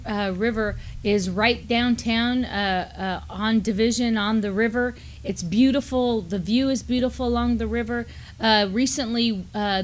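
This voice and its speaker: A middle-aged woman with an American accent co-hosting community news in a casual conversational style. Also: fairly high pitch. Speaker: co-host of a community news show. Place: indoor studio, seated at a desk.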